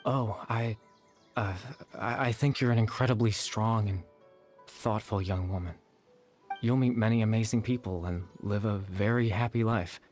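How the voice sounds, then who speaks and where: An actor playing a calm, gentle, melancholy young nobleman who speaks softly and in a measured, kind way. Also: on the low side, faint music behind, natural voice. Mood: tender. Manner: soft-spoken, measured, kind.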